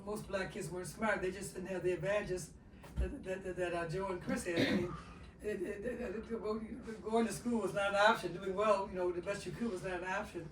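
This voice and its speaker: An older man telling family stories in a community circle, animated and laughing, repeating words and quoting other people. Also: sits high, fairly quick, noisy background. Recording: echoey room, distant mic.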